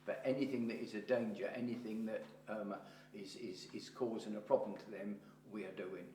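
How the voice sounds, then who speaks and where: A man chairing a parish council meeting, reporting in a halting way with many pauses and restarts. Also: fairly low pitch, steady tone, warm tone. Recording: roomy hall with faint hum.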